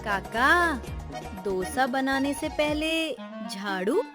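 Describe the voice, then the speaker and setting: A woman's voice: sits high, expressive, very slow, music in the background, exaggerated voice. A woman playing a cheeky village child in a children's cartoon story, with teasing, childlike delivery. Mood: puzzled, teasing. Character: cheeky village child helping the grown-ups.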